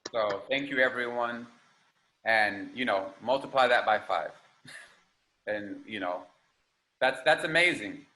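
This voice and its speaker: A man's voice: medium pitch, bright, forceful tone, rough recording. A man on a video-call panel, an organizer who talks about a fundraiser in an earnest, appreciative way. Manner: earnest, appreciative, loose with fillers. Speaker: capoeira teacher, fundraising organizer. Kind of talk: casual panel discussion.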